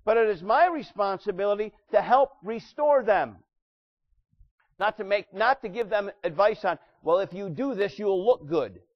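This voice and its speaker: Loud, high-voiced male pastor preaching. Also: animated, moderate pace, dull audio. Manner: loud preaching voice with wide swings in volume. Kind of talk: sermon. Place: church sanctuary.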